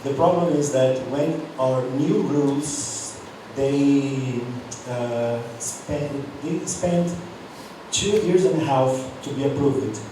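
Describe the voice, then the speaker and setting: A man's voice: medium pitch, slow, very echoey. Male outgoing coordinator, hesitant and repetitive, distant mic, noisy room. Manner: hesitant, repeats words. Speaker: former program coordinator. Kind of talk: panel discussion. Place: university auditorium.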